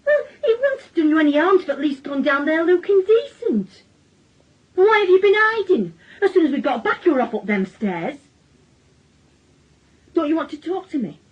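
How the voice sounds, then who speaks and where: A woman's voice: extremely high pitch, very animated, normal speed. An actress playing a bitter, wounded working-class mother with a Northern English accent in a radio drama. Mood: hurt, furious. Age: middle-aged. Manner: accusing, wounded, emotional. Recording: dull radio drama recording.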